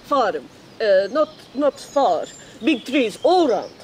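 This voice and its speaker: A woman's voice: a little high, very animated, takes it slowly, a little hiss or hum. An actress playing a brash but good-hearted female seabird who speaks in clipped, broken phrases in a radio drama. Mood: reporting, weary. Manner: clipped pidgin phrasing with foreign-sounding grammar.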